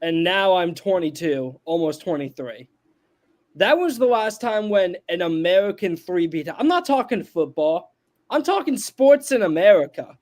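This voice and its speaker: Young dude 20s, keeps repeating his point, pretty high voice. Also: animated, moderate pace, darkish tone. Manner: emphatic, repeats points for stress. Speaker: podcast panelist. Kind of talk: casual sports debate.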